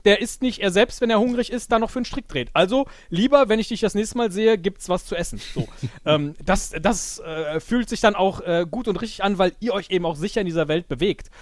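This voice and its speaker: A man in an excitable, casual debrief chat who acts out his remembered thoughts as he talks. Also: sits high, a little fast, no echo. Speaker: podcast guest and improv player.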